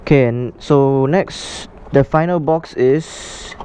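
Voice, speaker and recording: A man presenting a casual, unscripted product unboxing for a vlog, explaining things in a halting way. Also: mid-range voice, mellow, slight background noise.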